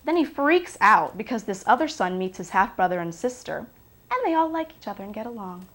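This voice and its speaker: A woman with a creaky, mid-pitched voice acts out an aggrieved ex-girlfriend in a film drama. She gives her account like testimony, naturalistic and conversational, curious about the man she was involved with. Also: very animated, a little fast, a bit bright. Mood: wry, curious.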